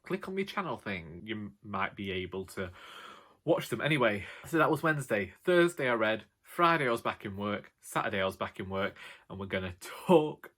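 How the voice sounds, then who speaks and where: British guy vlogging, loud kinda low voice, dry and sarcastic, just rambling away to the camera. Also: sing-song, moderate pace. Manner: sarcastic, wry. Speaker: vlogger and author. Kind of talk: casual rambling monologue to camera.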